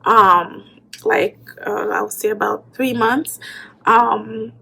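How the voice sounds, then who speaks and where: A woman from Haiti, an author in her thirties, talking casually to a phone camera in a room with bookshelves, pausing often with ums and you-knows. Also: on the high side, gravelly, bright, forceful tone, husky, poor audio. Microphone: phone camera at arm's length.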